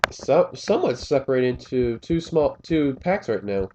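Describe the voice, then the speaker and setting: A man's voice: medium pitch, big pitch swings, moderate pace. A man with a slightly scratchy voice doing casual play-by-play commentary of a race, rambling with fillers and correcting himself as he goes.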